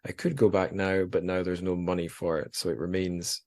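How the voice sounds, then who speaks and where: Low-voiced chatty male podcast host. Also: little pitch movement, normal speed. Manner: joking, self-deprecating, chatty with many restarts. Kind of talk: casual interview chat and show outro.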